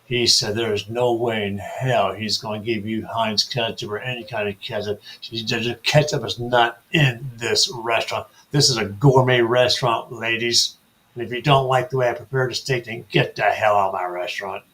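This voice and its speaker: A man telling a story in casual chat as a co-host, halting, with many fillers. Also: mid-range voice, steady tone, a bit bright, poor audio.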